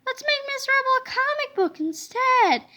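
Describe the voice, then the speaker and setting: A woman voicing eager, excitable elementary school children in a storybook, exclaiming and pleading. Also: very high-pitched, moderate pace, pitched above the performer's own voice, exaggerated voice. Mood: excited.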